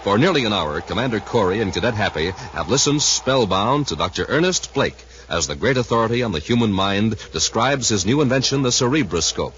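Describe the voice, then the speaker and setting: An American man announcing and pitching in a dramatic, emphatic 1950s radio style. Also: lively intonation, a little fast, few pauses, fairly bright tone. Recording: dull, faintly noisy 1950s radio recording.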